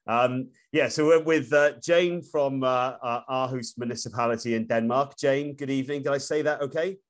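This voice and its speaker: A man's voice: medium pitch, normal speed. A man in his forties hosting a live webinar from home over a video call, with plenty of fillers and restarts. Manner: hesitant, many fillers and restarts. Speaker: webinar host. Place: room at home. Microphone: laptop webcam on a video call.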